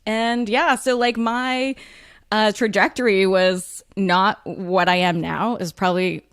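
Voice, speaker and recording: A woman, talking candidly as an interview guest, frank and self-deprecating with lots of fillers and quick laughs. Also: medium pitch, steady tone, a bit bright, clear, resonant tone, no room sound, studio quality. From United States. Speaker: author and guest.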